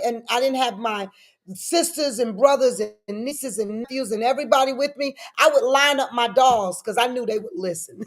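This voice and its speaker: A middle-aged woman with a fairly high voice answers as an interview guest. She talks in an animated, personal way and leans on repeated phrases for emphasis. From born in Frankfurt, Germany; lived in New York City. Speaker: guest, daycare business owner.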